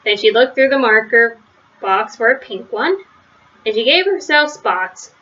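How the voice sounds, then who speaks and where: A woman's voice: on the high side, expressive, a little slow, bright, forceful tone, highs cut off. A woman reading a children's story aloud as narrator. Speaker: storybook reader.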